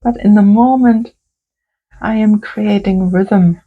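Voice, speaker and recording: A woman working as a creative therapist, talking gently and softly through a calm crafting tutorial. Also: mid-range voice, phone-quality sound. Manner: gentle, soft-spoken, unhurried.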